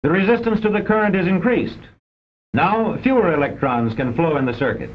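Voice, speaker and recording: A man with an American accent delivers formal scripted narration for a vintage instructional film, measured and didactic with precise enunciation. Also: high-pitched, animated, slight background noise. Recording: dull, band-limited old film soundtrack.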